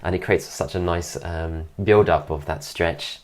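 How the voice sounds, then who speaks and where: A man recovering from an illness, his voice deeper than usual and his nose congested, giving an admiring, relaxed commentary over a dance video. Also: measured pace. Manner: admiring, keeps praising details. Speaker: dance teacher reviewing a dance video.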